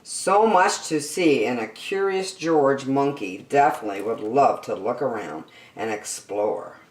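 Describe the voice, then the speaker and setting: A woman hosting story time and reading a children's picture book aloud in a deliberate, expressive way for young listeners. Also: rumbling low. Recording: echoey room, distant microphone.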